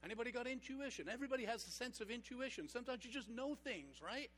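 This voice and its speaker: A man preaching a sermon as pastor, engaging his congregation with rhetorical questions and slowing down for emphasis. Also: extremely high pitch, lively intonation, a bit bright. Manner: slows down for emphatic points.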